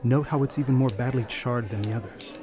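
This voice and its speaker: An actor playing a brisk, puzzled male police detective sergeant in a radio drama, with an emphatic delivery. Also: mid-range voice, a crowd in the background, natural voice. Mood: puzzled, businesslike.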